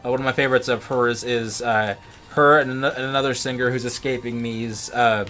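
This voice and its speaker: A man's voice: medium pitch, steady tone, a little fast, bright, forceful tone. A man co-hosting a gaming video in casual chat, rambling and often stumbling back over his words. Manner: rambling, stammering with repeated words. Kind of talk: casual let's play chat. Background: video game music.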